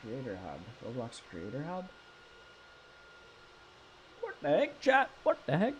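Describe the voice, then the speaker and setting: Animated young Canadian man streaming. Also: on the high side, measured pace, lo-fi sound, a little hiss or hum. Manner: animated, stammering, talks to chat. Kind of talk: live gameplay stream chat.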